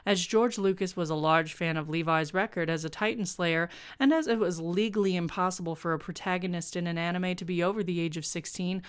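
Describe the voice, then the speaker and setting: Smooth, lower-pitched snarky woman storyteller. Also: a little fast, few pauses. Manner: snarky, sardonic. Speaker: fan fiction writer and show presenter. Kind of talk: reading her own comic prose narration aloud.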